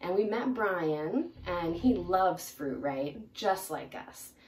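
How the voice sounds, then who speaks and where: A young adult woman presenting a picture-book read-aloud in an expressive, emphatic way for young children. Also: lowish voice, slow. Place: small indoor room, seated in armchair. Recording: roomy, distant mic, faint noise.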